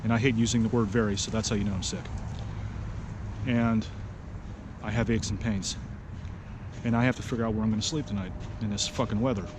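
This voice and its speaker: A man's voice: lowish voice, fairly even intonation, brisk, long pauses. A man in his forties with a head cold, walking a city street at night and talking bluntly and profanely into a handheld phone camera. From Pittsburgh, United States. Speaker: vlogger. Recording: street noise behind the voice.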